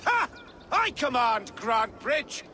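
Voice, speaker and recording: A haughty noble villain from a video game. He speaks slowly in a very high, sharp voice, full of mockery. Some ambient noise sits behind him. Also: very animated, full character voice. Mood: scornful. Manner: haughty, mocking. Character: arrogant, sneering noble-born lord, a villain. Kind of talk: dramatic video game acting.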